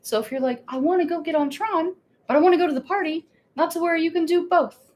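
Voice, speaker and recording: A woman's voice: very high-pitched, quick pace, darkish tone. A woman co-hosting a casual theme park live stream, chatty and laughing, rambling with restarts.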